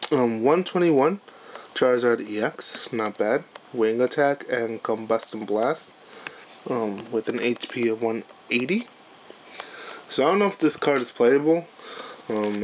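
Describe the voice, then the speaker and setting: A man with a hoarse, raspy voice, chatting casually as he opens trading card packs on his channel, with lots of fillers and apologies. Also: medium pitch. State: hoarse, partly lost voice. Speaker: channel host, card unboxer. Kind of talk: casual unboxing vlog. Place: indoors at a table, camera on the cards. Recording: dull lo-fi home recording with faint hiss.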